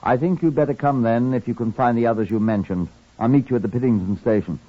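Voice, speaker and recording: A man playing a methodical, polite police chief superintendent who questions formally with hesitant pauses, in old-time radio crime drama style. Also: mid-range voice, noisy background, close to an everyday voice. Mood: businesslike. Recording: dull lo-fi old radio recording.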